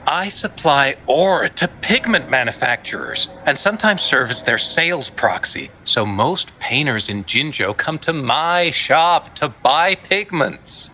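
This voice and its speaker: A man's voice: on the high side, unhurried, few pauses, slight background noise, heavily acted. A man voicing a friendly, teasing male pigment shopkeeper in a game. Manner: playful, teasing, lively. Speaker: game character.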